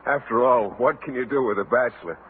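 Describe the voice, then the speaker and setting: An actor playing a shy, earnest bachelor teacher with a hesitant, polite manner in a 1950s radio comedy. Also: male voice, mid-range voice, fast, phone-quality sound, close to an everyday voice. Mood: bashful, friendly. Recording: noisy old radio recording, studio audience.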